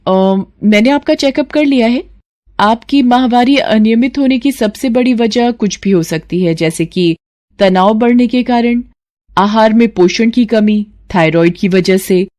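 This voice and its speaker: A woman's voice: on the high side, a little mellow, lightly acted. A woman storyteller voicing a female family doctor who advises patients in a reassuring, explanatory way. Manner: advising in measured, explanatory sentences.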